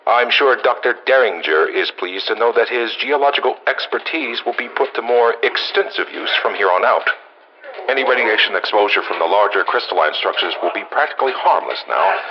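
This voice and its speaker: An actor playing a gruff, wry male senior scientist, dictating a formal yet musing, dryly humorous audio log for a game through a radio-like filter. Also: on the low side, expressive, fairly quick, background noise. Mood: businesslike. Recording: muffled lo-fi audio log recording.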